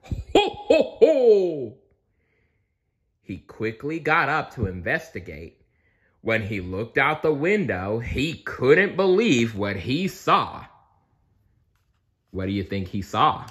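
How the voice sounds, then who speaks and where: A man narrates a children's storybook at a slow pace. His medium-pitched voice moves with lively intonation, and he adds playful asides to his expressive reading. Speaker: storybook reader.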